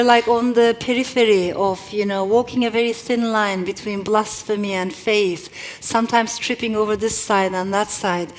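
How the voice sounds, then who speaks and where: A middle-aged woman author whose first language is not English, speaking thoughtfully at a live event and reading from her novel. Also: fairly high pitch. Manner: reflective, with measured pauses and occasional restarts. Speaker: author and guest.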